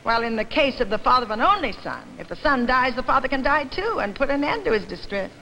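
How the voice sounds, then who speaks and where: A woman's voice: high voice, sing-song, fast, sharp tone, voice pushed up, exaggerated voice. Woman voicing an emphatic, cross father on a train. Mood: cross, anguished. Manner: emphatic, insistent. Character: argumentative, cross fathers among train passengers. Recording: noisy old archival reading recording.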